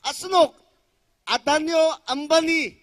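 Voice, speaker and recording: A man's voice: extremely high pitch, moderate pace, a bit bright. A man interpreting a political speech for a crowd, declaiming it phrase by phrase. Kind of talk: loud consecutive interpreting of a speech.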